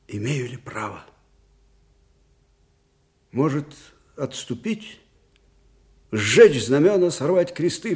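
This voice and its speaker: Old general in a radio play, slow weighty speech that swings from hushed to thundering, fiery and anguished. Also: male voice, on the high side. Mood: anguished, praying. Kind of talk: theatrical radio drama acting.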